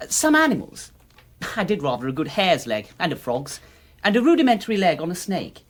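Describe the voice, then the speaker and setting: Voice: medium pitch, wildly swooping pitch, brisk. An actor playing a young medical student in a radio drama, casual and offhand. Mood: offhand, recalling. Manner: colloquial, offhand.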